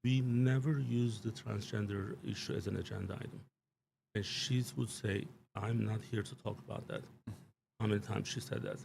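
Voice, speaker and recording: A man with a low, gravelly voice speaks in a small studio over a faint hiss. He talks in an emphatic, rambling way, restarting his sentences and leaning on fillers. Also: fairly even intonation, normal speed. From Turkey. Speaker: show guest commentator. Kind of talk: live studio political talk.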